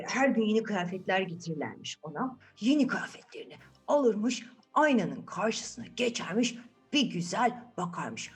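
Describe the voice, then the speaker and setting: Animated woman telling a children's fairy tale. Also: medium pitch. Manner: animated, conversational, checks in with listeners. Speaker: children's storyteller.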